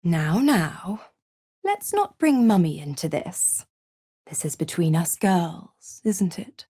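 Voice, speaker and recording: A woman's voice: fairly low pitch, big pitch swings, slow pace, warm tone, husky, no echo, clean studio sound, exaggerated voice. An actress voicing a gloating, seductive female devil in a video game, with a mocking, theatrical, condescending drawl. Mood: mock sympathy. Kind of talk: video game character acting.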